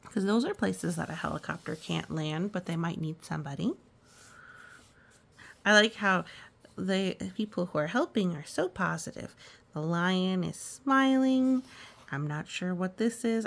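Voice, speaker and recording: A woman hosts a read-aloud storytime, pausing for chatty, reassuring asides. Her medium-pitched voice moves up and down a lot and often crackles with fry. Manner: reassuring, musing, chatty commentary. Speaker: storytime reader.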